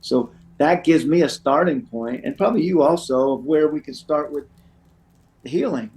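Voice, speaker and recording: Middle-aged man, slightly high-pitched voice. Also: moderate pace, darkish tone. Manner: rambling, repeats "you know". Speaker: guest, addiction recovery counselor. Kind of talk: conversational interview, sharing personal anecdotes.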